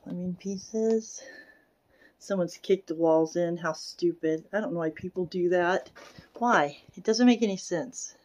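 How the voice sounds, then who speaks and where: A woman exploring as a vlogger, giving casual, unhurried walkthrough commentary and musing aloud into a handheld camera. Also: lowish voice, darkish tone, dry recording. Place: in and around derelict wooden buildings. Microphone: handheld camera or phone, operator behind it.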